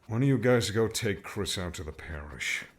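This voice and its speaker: A man's voice: fairly low pitch, lively intonation, normal speed. An actor playing a weary, short-tempered man in an audiobook story, serious and aggravated. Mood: quiet, grim. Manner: aggravated, emphatic.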